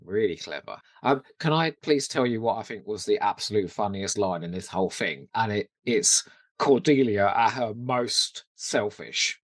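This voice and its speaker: A man's voice: lowish voice, animated, normal speed, no echo. A British man co-hosting a podcast, chatting casually about pop culture with giggly, gushing enthusiasm. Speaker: podcast co-host.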